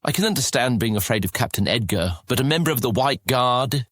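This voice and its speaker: A man's voice: medium pitch, expressive, fairly quick, a bit bright, slightly rough, dry recording, studio quality. A man playing a mischievous young street rat in a fantasy audio drama, teasing and persuading as he talks. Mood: needling, coaxing.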